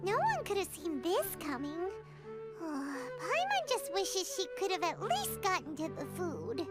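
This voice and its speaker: A woman's voice: extremely high pitch, wildly swooping pitch, measured pace, exaggerated voice. A woman voicing a small, childlike fairy companion in a video game, bubbly, blunt and exclamatory. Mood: earnest, plucky. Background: game music.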